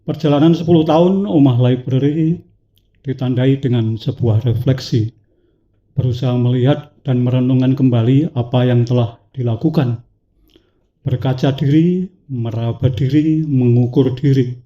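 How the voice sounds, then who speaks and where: A man with a cough reading a poetic prepared talk to an audience, slow and measured, with dramatic pauses. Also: mid-range voice, steady tone, mellow, lo-fi sound. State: has a cough. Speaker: speaker at a talk event.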